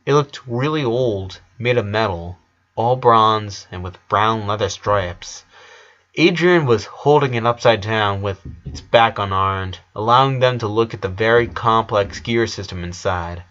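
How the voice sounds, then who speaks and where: A man's voice: on the low side, a little slow, fairly bright tone. A man reading a story aloud as narrator, in the style of an amateur audiobook. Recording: slightly dull lo-fi recording.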